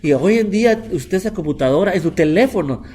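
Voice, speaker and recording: A middle-aged man teaching a relaxed Bible class as a rabbi, talking in a chatty, repetitive way and slipping English words into his Spanish. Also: sits high, brisk. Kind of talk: informal Bible lesson.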